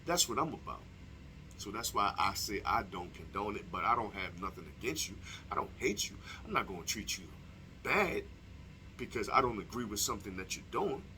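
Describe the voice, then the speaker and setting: A man speaking his mind in a blunt, profane monologue. He talks straight at the listener, his pitch bouncing widely, and his voice has a bright, forceful edge. A faint hiss of ambient noise sits under the recording. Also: expressive, fairly quick, rough recording. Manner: blunt and profane, swings between lowered and raised delivery; direct address to the listener. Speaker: commentator. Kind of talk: opinion monologue.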